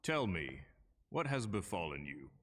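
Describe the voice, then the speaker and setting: A man playing a wise, solemn king who is tormented by indecision, in an animated fairy tale. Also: sits low, unhurried, exaggerated voice. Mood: calm, regal.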